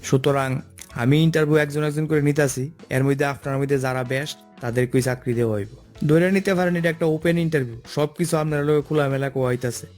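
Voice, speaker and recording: Guy doing a bossy by-the-book cartoon boss, kinda high voice, eastern bengali dialect, lectures people. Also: steady tone, fast, darkish tone, faint music behind, natural voice. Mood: firm, explaining. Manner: bossy, admonishing, explanatory. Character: stern, by-the-book male office boss holding job interviews. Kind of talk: comic cartoon dialogue.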